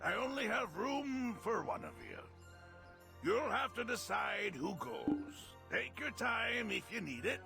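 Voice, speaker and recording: An actor playing a cheeky, unflappable ship captain in a video game, with a theatrical drawl and a gravelly voice. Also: male voice, very high voice, very animated, unhurried, full character voice. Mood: teasing, breezy. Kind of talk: video game character acting. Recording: loud music behind the voice.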